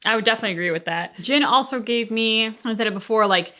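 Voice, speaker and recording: A woman's voice: fairly high pitch, fast, sharp tone. A woman co-hosting a game reaction show, talking casually and reflectively in an earnest, explanatory way.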